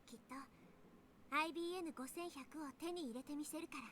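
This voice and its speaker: A woman playing a very high-voiced, earnest young heroine. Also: very fast, background noise, close to an everyday voice. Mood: resolute. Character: young woman time traveller, earnest, resolute daughter. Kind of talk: Japanese visual novel voice acting.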